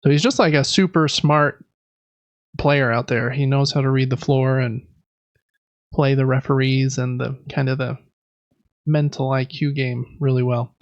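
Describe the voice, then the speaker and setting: A man's voice: medium pitch, fairly even intonation, a little slow, long pauses, dry recording, highs cut off. A man co-hosting a podcast in easygoing, casual chat, close on the microphone. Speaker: podcast co-host.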